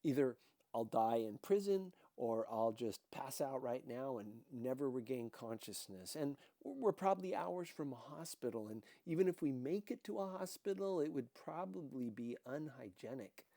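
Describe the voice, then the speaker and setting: A man reading a first-person novel aloud as an audiobook, with deliberate, dramatic expression. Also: medium pitch, animated, creaky, warm tone, no room sound. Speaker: audiobook reader.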